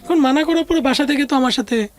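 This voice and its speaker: A woman's voice: high voice, fast. A woman with a Bangladeshi accent telling a personal life story as an interview guest, conversationally, often voicing what others said.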